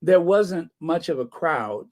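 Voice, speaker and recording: An older man hosting a commentary channel, talking with a hoarse, scratchy voice and dry sarcasm. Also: fairly high pitch, lively intonation, slow pace, a little mellow. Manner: wry, sarcastic asides. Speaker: channel host. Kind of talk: casual commentary, reading viewer comments.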